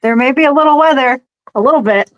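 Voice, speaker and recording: A woman reading a ground radio operator in a casual, deadpan table read. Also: sits high, fast, no room sound, lightly acted. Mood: calm. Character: male ground radio operator calling a pilot.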